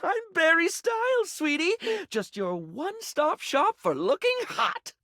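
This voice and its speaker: A man's voice: extremely high pitch, wildly swooping pitch, unhurried, sharp tone, dry recording, studio quality, heavily acted. A man voicing a flamboyant, chatty living makeup kit in a video game, gushing and rambling, camp, insecure and eager to please. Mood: upbeat, reassuring. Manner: effusive, camp, gushing, rambling, calls people darling. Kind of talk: video game character voice acting.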